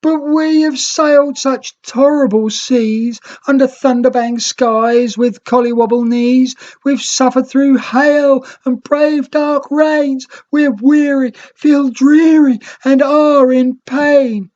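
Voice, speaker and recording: A small, hopeful seafaring creature from a storybook played by a narrator exclaims earnestly. The voice sits far up in pitch and pours out each phrase with feeling. The recording is a bit dull. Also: steady tone, slow pace, hardly any pauses, a little mellow. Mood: sobbing, pleading.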